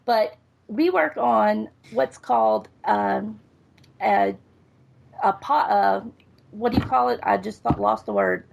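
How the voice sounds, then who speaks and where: A woman hosting a podcast interview, talking in a halting way and searching for her words. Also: mid-range voice, sing-song, creaky, dull audio. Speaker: podcast host. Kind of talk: casual interview.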